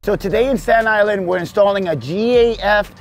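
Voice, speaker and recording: An energetic man in his forties gives a salesy walkthrough of a roofing job, his voice sitting a bit high for a man. He's recorded outdoors on a roof with a clip-on mic. Music plays clearly underneath. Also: a little fast. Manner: upbeat, salesy, keeps addressing viewers as "guys". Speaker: roofing contractor and channel host. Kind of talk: casual on-site vlog walkthrough. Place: outdoors on a house roof and front yard.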